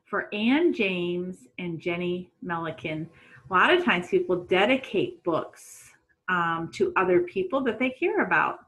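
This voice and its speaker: A middle-aged woman speaks as a teacher to young children at storytime. Her delivery is unhurried and very lively in pitch, and she often turns to her listeners with questions. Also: rough recording. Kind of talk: read-aloud storytime for children. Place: small room at home.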